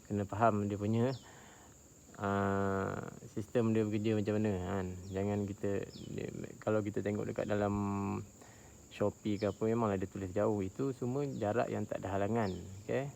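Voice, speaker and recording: A Malaysian man giving an informal product review in a casual vlog style, explaining in a halting, repetitive way. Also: lowish voice, flat delivery, vocal fry, darkish tone, slight background noise. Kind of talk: casual outdoor vlog talk. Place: outdoors, high up on a tower.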